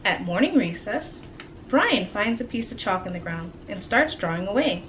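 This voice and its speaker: A woman reading a storybook aloud to children like a teacher, with dramatic pauses and questions to her listeners. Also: mid-range voice, big pitch swings, background noise. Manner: dramatic, engaging pauses and asides.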